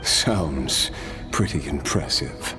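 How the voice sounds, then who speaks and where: Low, unhurried, world-weary immortal warrior. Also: male voice, lively intonation, over music, heavily acted. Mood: wry, determined. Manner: measured, rueful, dramatic pauses. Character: weary immortal male warrior, reflective, self-deprecating, wistful. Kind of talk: video game voice acting.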